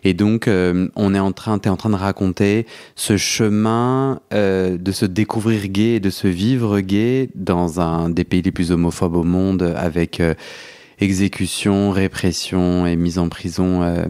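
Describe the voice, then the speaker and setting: A low-pitched man hosts an interview podcast, his voice dry and close with no room around it. He sums up his guest's story at length, often pausing on "euh" as he finds his words. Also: fairly even intonation, a little mellow, clean tone, no echo. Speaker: podcast host.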